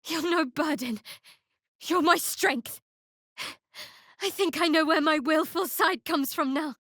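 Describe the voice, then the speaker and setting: Guarded, wry fantasy cleric, high-voiced. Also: female voice, takes it slowly, fairly bright tone, dry recording. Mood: earnest. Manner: dry, sardonic, guarded. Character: guarded, wry young woman cleric of a dark goddess. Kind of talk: fantasy video game voice acting.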